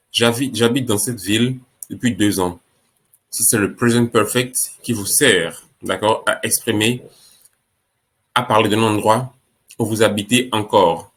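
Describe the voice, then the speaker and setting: Slow, low-voiced male English teacher. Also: a bit bright. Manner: slow, repeats each phrase in French and English. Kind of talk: French-language English lesson.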